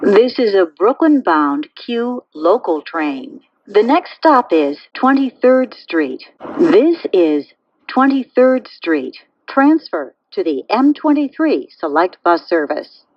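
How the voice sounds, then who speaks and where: A woman making pre-recorded subway train announcements in a measured, formulaic way. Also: mid-range voice, sing-song, no echo, highs cut off. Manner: measured, clearly phrased, formulaic delivery.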